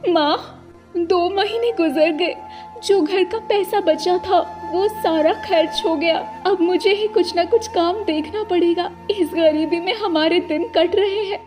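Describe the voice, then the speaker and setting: Woman voicing a high plaintive young mother bird. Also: takes it slowly, music in the background. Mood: anxious resolve. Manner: plaintive, pleading. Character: distressed poor young mother bird, tearful and worried.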